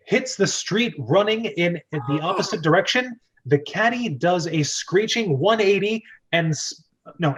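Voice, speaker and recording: A man's voice: high voice, a bit bright, poor audio. A man hosting a script reading and narrating the stage directions.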